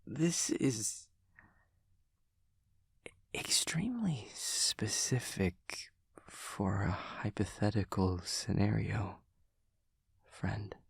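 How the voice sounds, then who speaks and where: A man voicing an awkward, caring young demon classmate in an intimate audio roleplay, with soft husky voice and stilted, formal, hesitant phrasing. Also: fairly low pitch, big pitch swings, extremely slow, pauses often, no room sound, whispered, clean studio sound, exaggerated voice. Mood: puzzled, careful.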